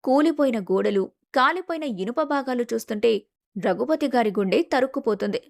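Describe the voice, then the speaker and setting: A woman narrates a scripted family story as its storyteller. Her voice sits somewhat high. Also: animated, a little fast, creaky.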